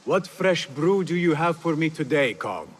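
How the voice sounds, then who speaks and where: Expressive, earnest young assassin. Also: male voice, on the high side, normal speed. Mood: friendly. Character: earnest young male assassin, curious, gentle, loyal. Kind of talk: video game character acting.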